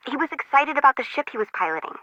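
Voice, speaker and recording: A woman's voice: high-pitched, big pitch swings, racing, no room sound, muffled recording. A woman voicing a quirky, anxious young intern who works as a radio operator in a video game, with slangy, dry, jokey delivery. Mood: scared, shaken. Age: young adult. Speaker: harbor control tower operator.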